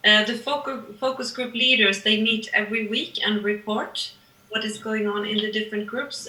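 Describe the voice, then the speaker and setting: Bright-voiced woman hosting an online meeting. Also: medium pitch. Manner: halting, many restarts and fillers. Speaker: meeting host and coordinator. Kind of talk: online group meeting, casual. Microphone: video call. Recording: echoey distant video call audio.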